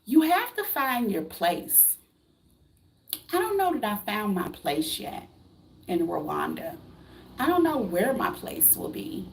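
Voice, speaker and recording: A woman's voice: medium pitch, big pitch swings, slow pace, creaky, pauses often, low-quality recording. A woman in her late thirties, from the United States, giving a blunt, preachy motivational talk to camera, full of rhetorical questions and casual swearing. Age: 30s. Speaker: vlogger.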